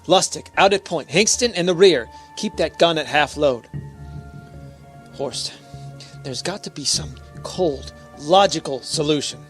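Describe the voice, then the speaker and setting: A man's voice: fairly high pitch, measured pace, fairly bright tone, soft background music. A man playing a stern, skeptical, logic-minded spaceship captain in a radio drama. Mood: urgent, commanding.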